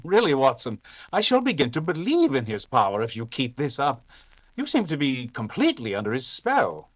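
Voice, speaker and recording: An actor playing a confident, dismissive veteran detective in the manner of 1940s radio drama. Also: male voice, medium pitch, huge pitch swings, fairly bright tone, muffled recording. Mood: brisk, teasing. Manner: brisk, dismissive, assured. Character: confident, dismissive veteran English detective, dryly amused and unflappable.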